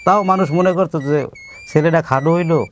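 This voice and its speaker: A man's voice: a little high, a little fast, slight background noise. A man with a Bangladeshi accent speaking plainly and humbly about his own life in colloquial speech, as an interview guest. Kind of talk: plain personal storytelling.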